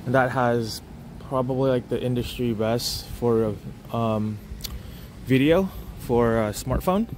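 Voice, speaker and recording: A young man films a resort walkthrough on a phone held on a gimbal, chatting loosely and stopping often to restart his sentences. His voice sits at an ordinary middle pitch. Clear outdoor noise runs under the whole recording. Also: slow, a little mellow. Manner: rambling, many fillers and restarts. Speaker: travel vlogger. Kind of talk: casual walking tour commentary.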